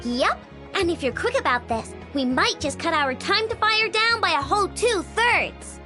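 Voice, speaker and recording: Very high-voiced cheeky girl inventor. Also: lively intonation, moderate pace, music in the background. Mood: breezy, confident. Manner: breezy, self-assured, childlike. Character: cheeky young girl inventor, boastful, breezy, snack-loving. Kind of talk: video game voice acting.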